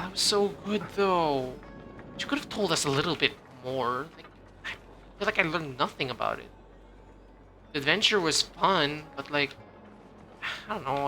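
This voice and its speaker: A woman's voice: sits low, pushed and bright. A woman streaming a game with casual, exasperated, sweary reaction commentary.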